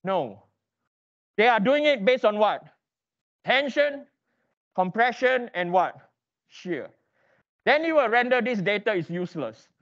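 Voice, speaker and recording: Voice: medium pitch, expressive, a little slow, long pauses, fairly bright tone, dull audio. An engineering lecturer teaching interactively in a classroom, quizzing students with emphatic, repeated questions and prodding them for answers.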